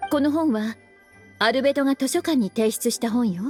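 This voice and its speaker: A woman's voice: on the high side, rapid-fire, lightly acted. A woman playing a composed, knowing adult librarian in a video game, explaining things in a feminine manner. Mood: serious. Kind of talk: Japanese video game voice acting. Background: soft game music with jingles.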